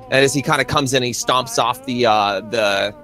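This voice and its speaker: A man's voice: medium pitch, normal speed, pushed and bright, slight background noise. A man improvising narration as game master of a tabletop roleplay, in a casual, descriptive way.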